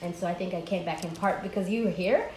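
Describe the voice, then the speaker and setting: A woman's voice: fairly low pitch, quick pace. A Somali American woman who directs an NGO, telling her story in an interview with frequent fillers. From Somaliland, raised in the United States. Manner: animated, warm storytelling with many fillers. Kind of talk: conversational interview. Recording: echoey room with faint noise.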